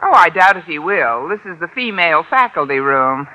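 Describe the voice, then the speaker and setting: A woman's voice: lowish voice, very animated, moderate pace, lo-fi sound. An American actress playing a wry, middle-aged woman schoolteacher with dry, sardonic wisecracks in a 1950s radio sitcom. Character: wry, wisecracking single woman high school English teacher. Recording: hissy old radio broadcast.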